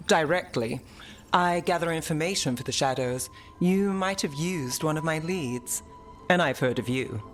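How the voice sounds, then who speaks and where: An actor playing a cool, dry-witted investigator in a fantasy video game, wry and matter-of-fact. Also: male voice, on the high side, normal speed, fairly bright tone, soft background music, natural voice.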